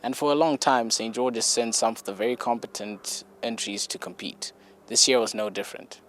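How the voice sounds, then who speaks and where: A man presents a newsreel from a script. His voice has a medium pitch. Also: moderate pace, fairly bright tone, slight background noise.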